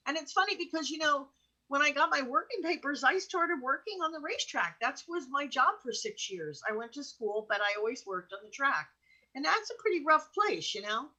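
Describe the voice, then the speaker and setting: A woman with an American accent co-hosts a casual chat. Her voice is bright and a bit high. She tells stories in a candid, colloquial, chatty way. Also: rough recording. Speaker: show co-host.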